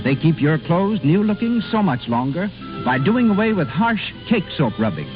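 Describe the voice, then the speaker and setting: An American man announces in vintage 1930s radio fashion. His pitch is high and swings with showmanlike emphasis. Clear music plays behind him on a dull old recording. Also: sing-song, normal speed. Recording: muffled 1930s radio broadcast.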